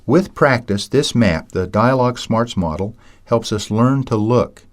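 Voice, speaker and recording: A man reading a scripted lesson in a measured, storytelling way, teaching communication skills. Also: medium pitch. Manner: measured, anecdotal, self-described ham. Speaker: audiobook narrator and seminar teacher. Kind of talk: scripted audiobook narration.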